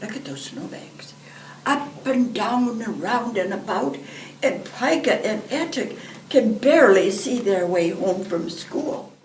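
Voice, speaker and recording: Grandma-aged lady reading a kids book, really slow and expressive, echoey room w some hiss. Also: fairly high pitch. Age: 70s or older. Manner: expressive, deliberate storytelling. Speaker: children's storyteller. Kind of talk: reading a picture book aloud to children. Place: indoor room, seated. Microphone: camera a few feet away. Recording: roomy, distant microphone, background hiss.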